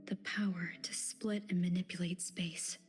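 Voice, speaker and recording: An actress playing a calm, supportive young woman adventurer in a video game, speaking softly and thoughtfully. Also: fairly low pitch, normal speed, slightly rough, faint music behind. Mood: pondering. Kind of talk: video game character acting.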